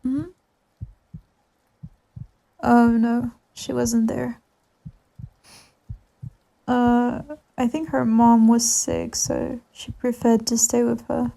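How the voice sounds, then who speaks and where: A woman playing a tender, sleepy girlfriend in an ASMR comfort roleplay, speaking softly and soothingly. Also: on the high side, completely flat delivery, drawn-out words, vocal fry, pauses often, full character voice, poor audio. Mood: gentle, concerned. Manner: soft, soothing, drowsy, murmuring into whispers.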